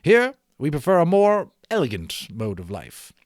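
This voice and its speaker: Man acting fussy, elegant island gentleman, animated pitch. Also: normal speed, no room sound, clean studio sound. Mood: courteous, proud. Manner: courtly, insistent, formal phrasing. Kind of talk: storybook read-aloud character voice.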